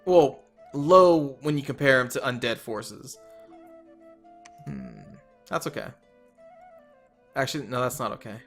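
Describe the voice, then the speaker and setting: Slightly hoarse man thinking aloud. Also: mid-range voice, animated, measured pace, long pauses, fairly bright tone, faint music behind. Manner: thinking aloud, trailing off, self-correcting. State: slightly hoarse, losing his voice. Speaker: let's player. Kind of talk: casual strategy game commentary.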